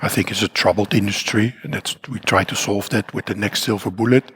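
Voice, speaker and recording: A man from the Netherlands with a Dutch accent and a croaky voice, speaking at a conference in a rambling, self-deprecating way with many fillers and restarts. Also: lowish voice, a little fast, a bit bright. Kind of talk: conference talk. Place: conference hall.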